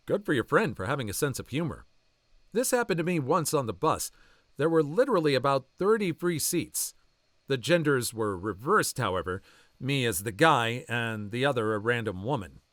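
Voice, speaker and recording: Male story narrator, mid-pitched, animated intonation, dry close sound. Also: normal speed, dry recording. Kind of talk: reading online posts aloud.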